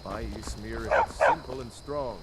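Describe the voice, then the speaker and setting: A man playing an obliging blacksmith in a fantasy video game, with loud background sound. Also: on the low side, measured pace, very noisy, lightly acted.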